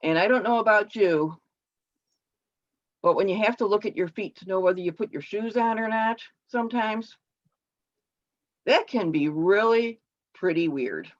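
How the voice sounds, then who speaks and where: Woman speaking haltingly over a dull call. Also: mid-range voice. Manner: halting, explanatory, with restarts. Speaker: club member giving a speech. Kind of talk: personal speech on a video call. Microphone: video call.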